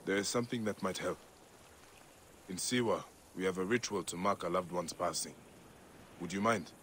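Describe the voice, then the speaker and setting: An actor playing a solemn, resolute ancient Egyptian warrior in a dramatic game cutscene. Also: male voice, deep voice, normal speed, a bit bright, slight background noise. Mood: gentle, solemn.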